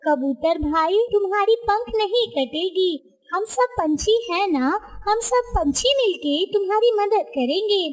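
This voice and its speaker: Woman doing a helpful little girl bird, cartoon, really high and reassuring. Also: animated, measured pace, few pauses, poor audio. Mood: concerned, reassuring. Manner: reassuring, eager.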